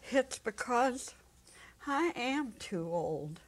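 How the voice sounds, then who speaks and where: An elderly woman performing dialogue in a dramatic story reading, with unhurried, acted storytelling. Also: a little high, big pitch swings, poor audio. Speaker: story reader. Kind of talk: dramatic reading, in character as an old friend. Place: small indoor set.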